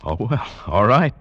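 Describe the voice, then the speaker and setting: An actor playing an eager, exasperated lost traveller in a vintage radio drama. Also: male voice, medium pitch. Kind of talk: 1940s-50s radio drama acting with first-person narration. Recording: dull vintage radio broadcast recording.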